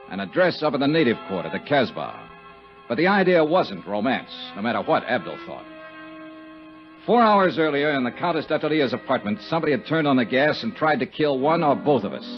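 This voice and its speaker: An actor playing a terse, sardonic American investigator in a 1950s radio detective drama, speaking dialogue and first-person narration. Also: male voice, mid-range voice. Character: hardboiled, wisecracking American insurance investigator. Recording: dull, lo-fi 1950s radio recording.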